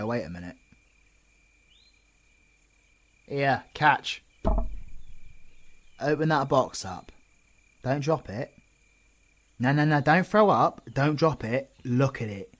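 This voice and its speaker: A man with a British accent playing a menacing, cocky supervillain in an audio roleplay, taunting and swearing in slangy speech. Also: sits low. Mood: urgent, lecturing. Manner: taunting, slangy, sweary, often hissing in whispers. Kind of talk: ASMR audio roleplay acting.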